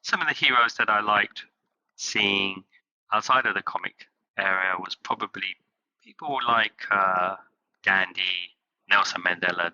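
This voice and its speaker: A man from England, a company's chief executive, answering interview questions in halting phrases with many fillers. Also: low voice, bright, forceful tone, muffled recording. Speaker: guest, company CEO.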